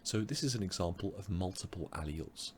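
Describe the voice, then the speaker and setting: A man teaching an online biology revision webinar, explaining step by step with frequent pauses, his voice a little strained as he loses it. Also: low voice, lively intonation, a little hiss or hum. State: losing his voice. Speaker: biology tutor.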